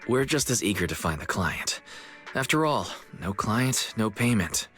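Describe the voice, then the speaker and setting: A man's voice: fairly low pitch, big pitch swings, a little slow, bright, forceful tone, full character voice. An actor playing an earnest, easygoing young man in a video game, speaking politely and conversationally. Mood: earnest, understanding. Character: kind, earnest young male shopkeeper and secret guide, easygoing. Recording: music under the voice.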